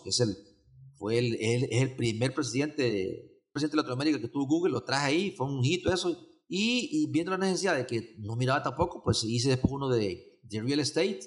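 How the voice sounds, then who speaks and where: Un hombre de Honduras con acento hondureño, invitado de una entrevista, que habla de forma suelta con muchas repeticiones y muletillas. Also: male voice, medium pitch. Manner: rambling, many restarts and fillers. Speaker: event organizer, guest. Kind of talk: casual interview chat.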